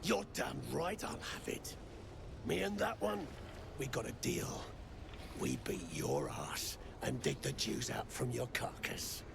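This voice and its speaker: A foul-mouthed dwarf mercenary chief in a video game, all gravel and grit, rough and bullish. His delivery is slow and drawn out, the pitch swinging widely as he curses, with a steady hiss of noise in the game audio behind him. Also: heavily acted. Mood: blustering. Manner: coarse, swearing, bullish.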